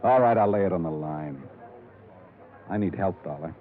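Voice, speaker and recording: A tinny old 1950s radio drama recording carries a low-pitched American man acting as a small-town police chief. He is weary and gruff, with a folksy, terse way of talking and a dry, sardonic authority. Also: normal speed, lightly acted. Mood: low, frank.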